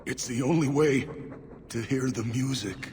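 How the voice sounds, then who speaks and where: An actor voicing a crazed, fanatical dwarf cultist in a video game, ranting fervently in a gravelly voice. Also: male voice, medium pitch, measured pace, a little mellow, big-room sound, slight background noise, close to an everyday voice. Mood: entranced, desperate. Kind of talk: dramatic video game acting.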